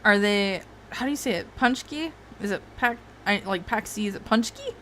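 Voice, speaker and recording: A woman's voice: mid-range voice, expressive, normal speed, a bit bright, a little hiss or hum. A woman hosting a casual livestream, rambling and swearing freely, with frequent laughs. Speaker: streamer host.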